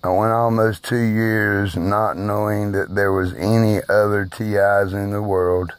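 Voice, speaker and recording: A man speaking slowly and haltingly in a personal video monologue, who is hard of hearing and raises his voice at times. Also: on the low side, level tone, no room sound, poor audio. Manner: slow, halting, emphatic delivery.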